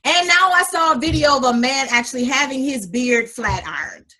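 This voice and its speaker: Husky, sassy woman chatting with flair. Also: high voice, moderate pace. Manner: animated, sassy, calls listeners honey. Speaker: podcast co-host. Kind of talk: casual chat.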